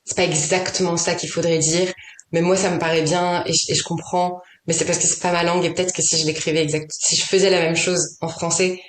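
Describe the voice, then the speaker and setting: Woman guest, lowish voice, searching for words with many restarts; roomy echo. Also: level tone, brisk, slight background noise. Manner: thinks aloud, hesitant, many restarts and fillers. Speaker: poet and author, podcast guest. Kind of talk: casual conversation.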